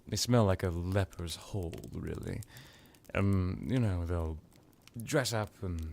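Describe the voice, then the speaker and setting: A man playing a suave, menacing vampire lord in an ASMR roleplay, musing languidly with drawn-out words and condescending affection. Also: sits low, darkish tone, a little hiss or hum. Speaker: character roleplay performer. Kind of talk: ASMR villain roleplay monologue.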